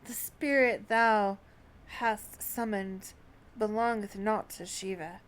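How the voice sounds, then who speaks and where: A woman's voice: medium pitch, steady tone, natural voice. A woman voicing an ancient, scornful and world-weary male dragon from a fantasy game, reading solemnly in archaic language. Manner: solemn, measured, archaic diction. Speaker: streamer reading out translated game dialogue. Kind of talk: archaic fantasy dialogue read aloud.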